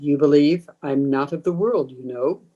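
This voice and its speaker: A woman's voice: low voice, warm tone, muffled recording. A woman playing a menacing, commanding undead ghost hungry for blood, in old time radio horror style. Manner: slow, commanding, taunting. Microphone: video call.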